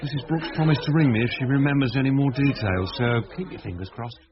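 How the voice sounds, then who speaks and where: An actor playing a wry, pragmatic police investigator in a radio drama. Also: male voice, mid-range voice, fast talker, highs cut off, noisy background, lightly acted. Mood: wry, matter-of-fact.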